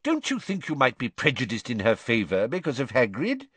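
A man's voice: mid-range voice, wildly swooping pitch, brisk, fairly bright tone, husky, dry recording, pitched above the performer's own voice, full character voice. A man reading an audiobook and voicing a blustering, pompous middle-aged male official who gets flustered and insistent.

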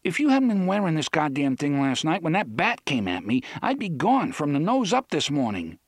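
A man voicing a gruff, sarcastic woman in a wheelchair who swears freely. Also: fairly high pitch, huge pitch swings, normal speed, no echo, clean studio sound, pitched above the performer's own voice, full character voice. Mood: insistent. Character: gruff sharp-tongued woman, wheelchair traveler.

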